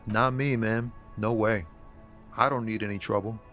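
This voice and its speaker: An actor voicing a laid-back young street poet and courier, talking in an easygoing, slangy way. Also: male voice, lowish voice, fairly even intonation, moderate pace, a bit bright, soft background music, lightly acted. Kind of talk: scripted game dialogue.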